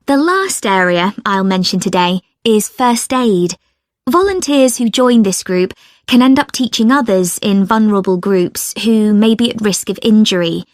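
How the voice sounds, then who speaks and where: A woman giving a scripted informational briefing as a volunteer coordinator, in a clear, instructive way. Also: mid-range voice, expressive, unhurried, no room sound, studio quality.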